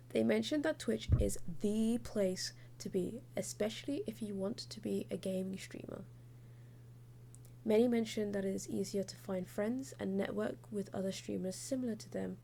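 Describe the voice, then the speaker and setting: A woman's voice: mid-range voice, vocal fry, warm tone, slight background noise. A young woman hosting her podcast, reading a chatty, informal scripted segment. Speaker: podcast host.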